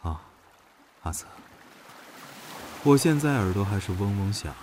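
A man's voice: fairly low pitch, expressive, measured pace, darkish tone, slight background noise. A man acting a teasing, clingy young male officer in an audio drama, coaxing his comrade playfully. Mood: light teasing.

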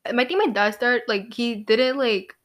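Young New York woman, slightly high voice. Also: moderate pace. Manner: relaxed, reactive, frequent exclamations. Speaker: podcast co-host. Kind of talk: casual chat.

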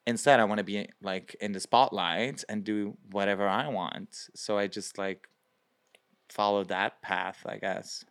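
Chatty young Belgian drag performer, low voice. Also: male voice, unhurried. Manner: chatty, lots of fillers, animated quoting. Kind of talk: casual interview.

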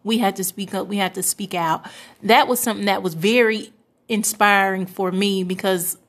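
Twenty-something woman talking earnestly, lots of ums, middle pitch. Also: steady tone, normal speed, a bit bright. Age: 20s. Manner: earnest, hesitant with many fillers. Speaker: pastor's wife and church first lady. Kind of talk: interview answer, casual and reflective.

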